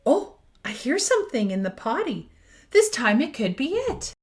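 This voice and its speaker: A woman voicing an eager, proud toddler from a storybook, with simple, expressive, sing-song delivery. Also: high voice, moderate pace. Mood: excited, proud. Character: eager, proud toddler learning to use the potty.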